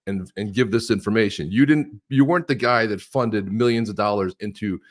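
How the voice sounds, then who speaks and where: A man's voice: fairly low pitch, brisk. A man of about sixty talking politics in a casual, joking way as co-host of a live show, often breaking into laughs. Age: 60s.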